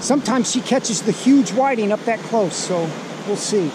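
Man vlogging over loud surf and wind. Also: high-pitched, animated, normal speed. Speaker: fishing vlogger. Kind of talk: casual running commentary. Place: outdoors on an open ocean beach. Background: waves, surf and wind.

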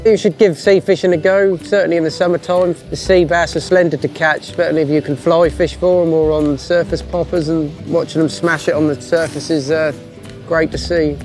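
A man's voice: a little high, level tone, moderate pace, darkish tone. A young man, talking informally and plainly as a sea angler in a documentary-style piece. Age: young adult. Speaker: sea angler and crab fisherman. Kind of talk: informal documentary-style talk to camera about fishing. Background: music.

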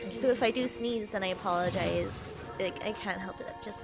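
A woman's voice: medium pitch, normal speed, over loud music. A woman streaming a game, sniffly, teasing her chat in a playful, profane way. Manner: playful, teasing, swears freely. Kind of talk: casual gameplay livestream chat.

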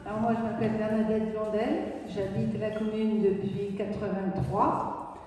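A woman's voice: mid-range voice, little pitch movement, unhurried, mellow, slight background noise. A woman from northern France introducing herself as deputy mayor in a chatty, joking way. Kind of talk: welcome speech. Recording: echoey hall from far off.

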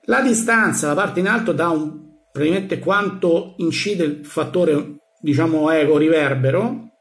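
A man giving an informal hands-on software tutorial, thinking aloud as he narrates each step. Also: on the high side, measured pace. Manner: thinks aloud, narrating each click with small verbal sound effects. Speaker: tutorial presenter. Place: indoors in a room. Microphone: handheld phone filming a laptop screen.

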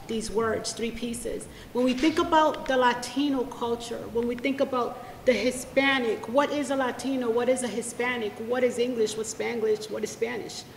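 Woman going back and forth english and spanish, high pitched, roomy hall sound, podium mic. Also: fairly bright tone, slight background noise. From United States. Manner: bilingual, switching between English and Spanish, heartfelt. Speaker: poet reading at a library event. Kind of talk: spoken introduction to a poetry reading. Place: library event room. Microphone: podium microphone. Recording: echoey room, long reverb.

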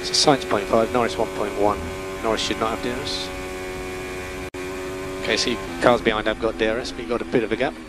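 A man working as a race engineer gives calm, methodical, terse instructions over team radio, repeating key calls. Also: on the low side, fast, loud music behind the voice, low-quality recording. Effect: team radio transmission. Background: vehicle hum and noise.

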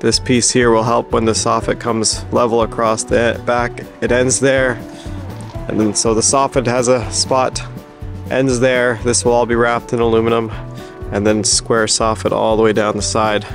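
A man's voice: mid-range voice, little pitch movement, normal speed, vocal fry, soft background music. A man giving a casual, plain-spoken, practical walkthrough as the host of a DIY building vlog. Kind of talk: casual explanatory walkthrough of construction work.

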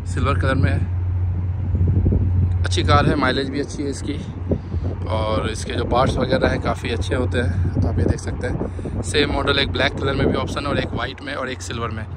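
A man's voice: mid-range voice, fairly even intonation, a little fast, heavy background noise. A man gives a casual, informative walk-around as a car vlogger, mixing in English words, recorded outdoors on a handheld phone. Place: outdoor used-car parking lot at night. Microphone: handheld phone, behind the camera.

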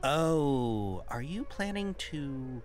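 A man's voice: medium pitch, big pitch swings, extremely slow, soft background music. A man playing a polite, anxious caravan merchant in a video game, deferential and eager. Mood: resigned, polite. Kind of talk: scripted video game dialogue.

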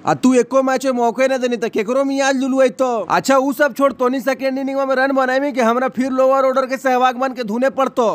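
A man's voice: very high-pitched, little pitch movement, fairly quick, few pauses. A man dubbing comic lines over cricket press-conference clips, rambling and joking in a with a close mic. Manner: joking, rambling dialect banter. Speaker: comedy dubber.

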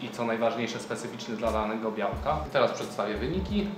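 A man presenting his doctoral work at a seminar, hesitant and halting, heard in a very echoey room from far off. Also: on the low side, a little hiss or hum.

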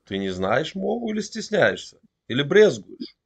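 Calm man teasing a stranger. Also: on the high side, moderate pace, vocal fry, slightly rough. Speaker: video chat show host. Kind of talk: provoking chat-roulette talk. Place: home room indoors. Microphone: webcam on a video call.

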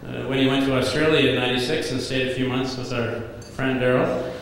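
A man in his thirties giving a best man's toast at a wedding reception, telling comic stories in a dry, deadpan way at a podium microphone. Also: medium pitch, fairly quick, gravelly, a little hiss or hum. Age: 30s. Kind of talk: wedding toast read from notes. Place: large banquet hall at a wedding reception. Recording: echoey banquet hall with reverb. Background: guests laughing.

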